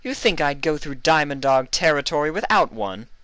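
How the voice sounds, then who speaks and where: Man doing a shrill, defiant young showmare pony. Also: animated, moderate pace, a bit bright, clean tone, no room sound. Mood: grudging, sarcastic. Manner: spitting, combative.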